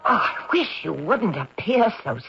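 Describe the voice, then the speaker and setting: An actress playing a spirited, no-nonsense homeowner in an old-time radio drama, speaking in a polite but firm, expressive way. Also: female voice, medium pitch, unhurried, gravelly, noisy background. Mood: exasperated, firm. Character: spirited, no-nonsense woman, homeowner haunted by her ancestor. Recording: dull old radio recording.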